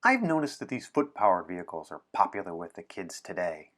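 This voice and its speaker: A man's voice: sits low, sing-song, brisk, a bit bright, voice pushed down, heavily acted. A man voicing a serious, bookish little boy from a picture book, with a formal, precise and exasperated manner. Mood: fussy, annoyed.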